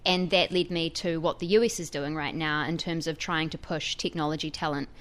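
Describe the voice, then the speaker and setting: A woman with a New Zealand accent speaks as a guest reporter, walking through her findings in a fluent, lively way. Her voice sits a little low for a woman. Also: a little fast. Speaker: tech reporter. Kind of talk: radio interview guest.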